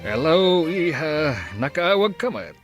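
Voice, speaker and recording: Disapproving father, Ilocano radio drama, drawn-out delivery with big swings; male actor. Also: a little high, big pitch swings, highs cut off, music in the background. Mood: stern, questioning. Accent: Filipino, Ilocano. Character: gruff, disapproving father of grown daughter.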